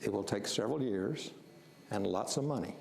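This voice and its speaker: A man with a gravelly voice leads a city planning session and spells out the rules plainly and firmly, often doubling his words. He sounds like he is in a reverberant meeting room, with a faint hiss underneath. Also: lowish voice, moderate pace, darkish tone. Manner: firm, explanatory, repeats words. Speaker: strategic planning facilitator. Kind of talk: explaining at a public meeting.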